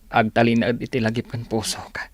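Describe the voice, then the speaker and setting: A man's voice: mid-range voice, completely flat delivery, brisk, natural voice. A man with an Ilocano accent acting in a radio drama as an earnest, wistful bachelor devoted to a lost love. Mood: wistful, heartbroken. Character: lovelorn single man, devoted to lost sweetheart.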